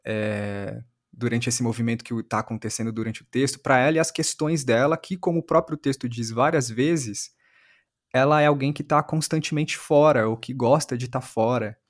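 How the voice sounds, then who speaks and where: A man's voice: mid-range voice, steady tone, moderate pace. A Brazilian man interviewing on a podcast in a conversational way, thoughtfully building long questions step by step.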